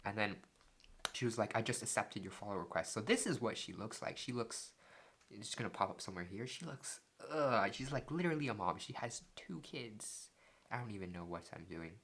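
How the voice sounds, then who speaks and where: A man's voice: lowish voice, lively intonation, brisk, fairly bright tone, slightly rough. A young man telling a story in a casual, joking way and reading text messages aloud, with lots of "like" fillers. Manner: loose and jokey, many "like" fillers. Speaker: vlogger.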